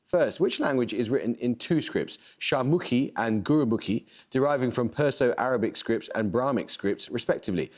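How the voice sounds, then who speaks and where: A man hosting a television quiz show, reading questions and introductions in a crisp, measured way. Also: mid-range voice. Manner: crisp, measured, lively intonation. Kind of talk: scripted quiz presenting. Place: television studio.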